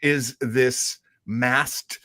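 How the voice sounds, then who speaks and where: Halting man explaining things, dry close sound. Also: mid-range voice, steady tone, sharp tone, dry recording. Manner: halting, thinking aloud. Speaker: show host. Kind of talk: casual explanatory talk about old radio heroes.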